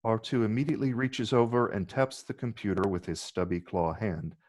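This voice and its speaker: A man's voice: fairly low pitch, a little fast, darkish tone. A man narrating stage directions in a scripted reading.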